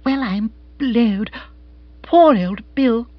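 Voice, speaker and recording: A man voicing an excitable, breezy upper-class English lady in a storybook, emphatic and slangy. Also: high voice, moderate pace, husky, lo-fi sound, pitched above the performer's own voice, exaggerated voice. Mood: excited, shocked.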